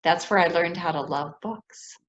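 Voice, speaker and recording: A woman's voice: lowish voice, normal speed, a bit bright, muffled recording, a little hiss or hum. An older woman with an American accent, a children's book author telling personal stories in a talk for young listeners. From grew up in Ethiopia as an American child.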